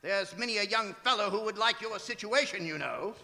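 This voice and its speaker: A man's voice: sits high, a little fast, pushed and bright, exaggerated voice. An actor playing a miserly, cantankerous old Victorian businessman in a radio drama, with a scornful, barking delivery. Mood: threatening, bossy. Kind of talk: stage radio drama acting. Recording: reverberant theatre hall recording.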